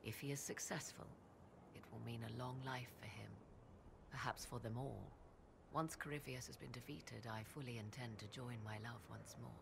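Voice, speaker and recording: An actress playing a proud, sardonic sorceress in a video game, with archaic, formal, haughty diction. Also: female voice, very deep voice, moderate pace, gravelly, noisy background, heavily acted. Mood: composed, wistful. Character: proud, sardonic sorceress, devoted mother and lover. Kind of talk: dramatic video game dialogue.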